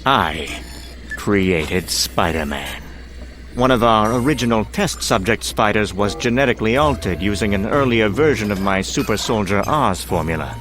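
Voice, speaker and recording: Man playing low-voiced, menacing industrialist. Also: lively intonation, over music, natural voice. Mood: grave, boastful. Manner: theatrical storytelling with deliberate pauses. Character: menacing, grandiose male industrialist scientist, obsessive and controlling. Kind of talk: dramatic comic-book voice acting.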